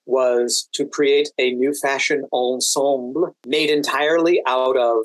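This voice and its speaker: A man's voice: mid-range voice, steady tone, a little slow, no room sound, low-quality recording. A man with an American accent talking conversationally as a guest in an interview. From United States. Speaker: podcast guest, actor and event manager.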